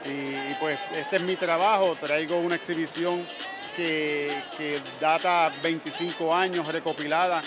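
A man's voice: on the high side, fairly even intonation, unhurried. A middle-aged man from San Juan with a Puerto Rican accent, a painter speaking conversationally into a handheld mic, tagging phrases with "¿verdad?". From San Juan, Puerto Rico. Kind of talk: event interview. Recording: music and crowd behind.

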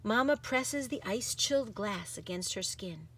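Animated woman reading a storybook aloud. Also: mid-range voice, normal speed, husky. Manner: animated, dramatic, varies from hushed to exuberant. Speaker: storybook reader. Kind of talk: expressive picture-book read-aloud.

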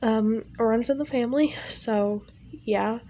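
A woman's voice: fairly high pitch, unhurried, dark tone, slight background noise. A young woman with a stuffy, nasal voice talks casually over her art, rambling with many fillers. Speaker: art channel creator. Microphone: home recording setup.

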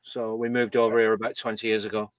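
A man from Lancashire, England joining a panel call from home, relaxed and chatty. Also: lowish voice, fast, dry recording, highs cut off. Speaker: panel guest. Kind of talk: casual chat.

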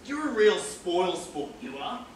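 A man's voice: high voice, big pitch swings, measured pace. A man acting a cheeky, lecherous, cantankerous old man in a stage comedy, blunt and needling. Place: large theatre stage, recorded from far off. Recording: echoey distant theatre recording with hiss.

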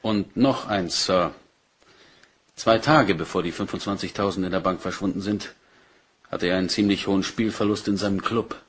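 A man's voice: sits low, normal speed, slightly rough. A man acting a dutiful, matter-of-fact police inspector in a German crime radio play, polite and orderly in his delivery. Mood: reporting. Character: dutiful, matter-of-fact male Scotland Yard detective inspector.

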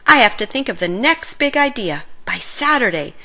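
A woman voicing an excitable male baker with big ideas in a storybook, dramatic and emphatic. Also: fairly high pitch, moderate pace, heavily acted. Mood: desperate.

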